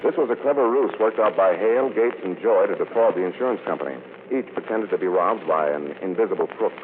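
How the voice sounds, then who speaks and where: 1940s radio mystery, male actor as self-assured American gentleman detective, deep low voice, suave, measured, thin phone-like sound. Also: close to an everyday voice. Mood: assured, explanatory. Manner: measured, authoritative, explanatory. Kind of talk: 1940s radio drama acting. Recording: tinny, noisy 1940s radio recording.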